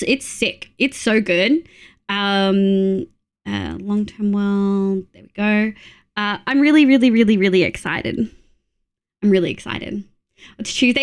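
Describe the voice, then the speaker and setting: A woman streamer from Australia chatting casually during a game livestream, congested with a stuffy nose, excitable and full of fillers. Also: a little high. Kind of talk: casual livestream chat while playing.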